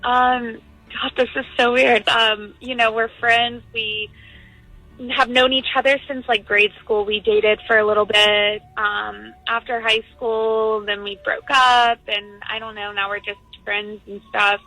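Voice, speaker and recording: A woman's voice: on the high side, level tone, unhurried, pushed and bright, soft background music. A woman on a radio phone call, laughing nervously and hesitating often. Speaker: caller on the phone.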